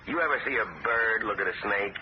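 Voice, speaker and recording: A middle-aged actor playing a sly, self-pitying uncle who talks smoothly and wheedles, his voice jumping up in pitch, in a 1970s radio drama. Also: a little fast, close to an everyday voice. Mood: scheming. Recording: muffled old radio broadcast with hiss.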